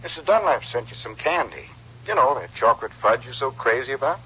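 An actor playing an outwardly devoted, anxious rancher husband, folksy and solicitous, in a 1950s radio western. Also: male voice, medium pitch, big pitch swings, fairly quick, sharp tone, slightly rough, exaggerated voice. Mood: coaxing, soothing. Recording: muffled, noisy 1950s radio broadcast.

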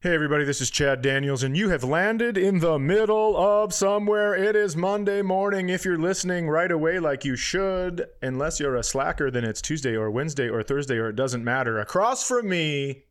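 A man's voice: on the high side, fairly quick, few pauses, smooth, faint music behind. A middle-aged American man hosting a comedy podcast with casual, playful, joking banter.

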